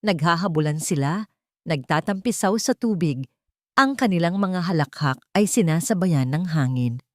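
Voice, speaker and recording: A Filipino woman tells a scripted love story as a narrator. Her voice sits on the low side, and she phrases things dramatically, in measured pieces with pauses. The sound is dry and close, with no room on it. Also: lively intonation, darkish tone, no echo.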